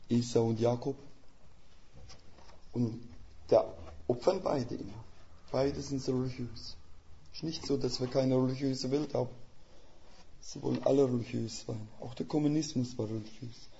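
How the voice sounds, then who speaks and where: An older man delivering a sermon in a thick Swiss German dialect, his voice gravelly and mellow. He talks plainly and folksily, with homely images and rhetorical questions. The recording sounds a little dull and lo-fi. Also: medium pitch, a little hiss or hum. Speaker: guest preacher; missionary.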